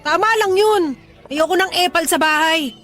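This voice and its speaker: A woman's voice: very high voice, moderate pace, fairly bright tone, faint music behind. A woman acting a brusque, irritable tomboyish young woman in a radio drama, snapping curt, scornful retorts.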